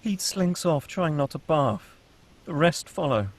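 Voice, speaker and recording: A man's voice: on the high side, animated, a little slow. A man reading scene headings and stage directions as the narrator of a scripted sci-fi table read.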